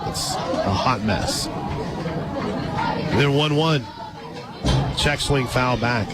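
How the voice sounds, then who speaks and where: A man calling a softball game live on the radio, mixing pitch-by-pitch play-by-play with conversational asides. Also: very slow. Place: stadium radio booth at an outdoor ballpark. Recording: loud crowd and music behind. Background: loud ballpark crowd and music.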